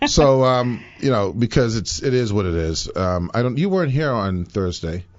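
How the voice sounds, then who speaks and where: Lively Bahamian man hosting a talk show. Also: medium pitch, no room sound, lo-fi sound. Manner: animated, rambling, quick to laugh. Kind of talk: live talk show chat.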